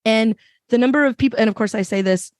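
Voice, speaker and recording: A woman with a fairly low voice, still rough from recovering after being sick, making a passionate, emphatic point on a panel discussion over a video call. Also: fast, darkish tone, no echo, studio quality. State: recovering from illness, voice a bit rough. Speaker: podcast panelist.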